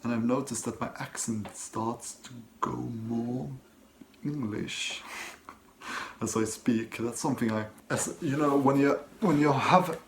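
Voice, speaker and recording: A man from Sweden speaks English with a Swedish accent at a middle pitch. He is soft-spoken and a little hesitant, stopping on ums and starting phrases over as he answers questions from his desk at home. A faint hiss of room noise sits underneath. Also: takes it slowly. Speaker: book channel host. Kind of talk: casual Q&A vlog, reading and answering questions.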